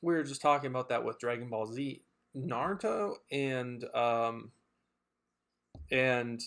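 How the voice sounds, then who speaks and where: A man's voice: mid-range voice, measured pace, vocal fry, fairly bright tone. A man co-hosting a casual chat, rambling and hesitant with lots of fillers and restarts. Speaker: podcast co-host.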